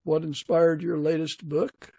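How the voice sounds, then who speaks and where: Podcast host guy, husky creaky voice, casual interview chat, close dry sound. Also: a little high, level tone, a little slow, a little mellow, no echo.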